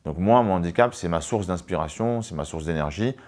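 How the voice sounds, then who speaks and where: A man in his thirties speaks in a very deep voice. He answers interview questions with earnest emphasis, and he is a para-judo athlete. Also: fairly quick, darkish tone. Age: 30s.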